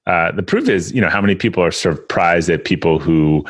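A man's voice: sits low, brisk, dry recording. A man hosting a conversational interview, thinking aloud and often restarting his phrases as he frames a point. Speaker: interviewer, host.

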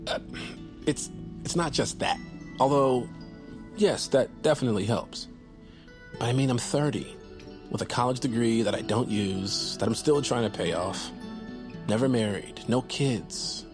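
A man's voice: mid-range voice, a little slow, pauses often, over music, close to an everyday voice. An actor playing an awkward, earnest man in his thirties, a loyal friend who rambles and stammers, in a naturalistic audio drama. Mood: earnest, wistful. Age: 30s. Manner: rambling, stammering, self-deprecating.

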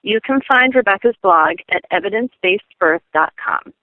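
Thin, phone-like audio carries a woman reading a scripted informational segment. Her voice is mid-pitched and the sound is dry, with very little room. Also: animated, moderate pace, no echo. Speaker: segment contributor on pregnancy resources. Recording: thin telephone-like band-limited sound.

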